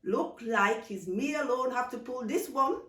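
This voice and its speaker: A woman's voice: on the high side, lively intonation, measured pace, fairly bright tone, voice pushed up. A woman voicing an exuberant, teasing aunt in a storybook, playful and commanding, with dialect phrasing. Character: exuberant, teasing adult aunt who loves Christmas. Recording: roomy, distant microphone.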